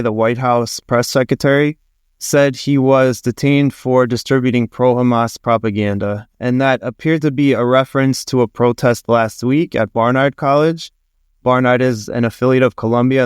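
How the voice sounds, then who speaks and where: Smooth-voiced male reporter. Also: mid-range voice, no echo, studio quality. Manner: informative, with small restarts. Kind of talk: news podcast interview.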